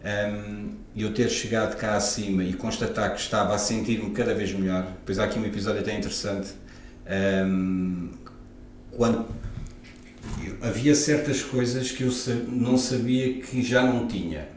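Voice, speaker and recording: A man's voice: on the low side, fairly even intonation, moderate pace, a little hiss or hum. A man in his forties from Lisbon with a European Portuguese accent, a guest osteopath talking reflectively with many hesitations. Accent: European Portuguese, Lisbon area. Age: 40s. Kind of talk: casual interview talk. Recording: echoey room, off-mic, faint ambience.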